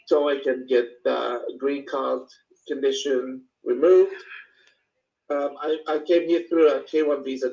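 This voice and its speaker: Reflective man with a Moroccan accent, higher-pitched. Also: gravelly, a little mellow, low-quality recording. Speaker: speaker sharing personal experience. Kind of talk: informal personal talk to a small group.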